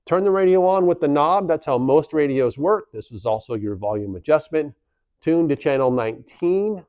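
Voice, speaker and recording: A man's voice: a little high, animated, moderate pace, darkish tone, no room sound, muffled recording. A man presenting an instructional how-to, explaining plainly step by step and repeating key points for emphasis. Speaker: instructional video presenter for a community association.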